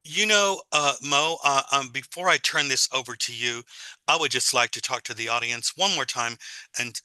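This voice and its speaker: A man hosting an online teacher-development panel, talking casually over a video call with many pauses and fillers. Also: medium pitch, sharp tone, clear, resonant tone, no echo, poor audio.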